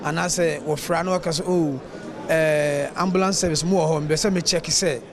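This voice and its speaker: A man's voice: a little high, steady tone, moderate pace, a crowd in the background. A man with a Ghanaian accent giving an unscripted interview answer as a service spokesperson.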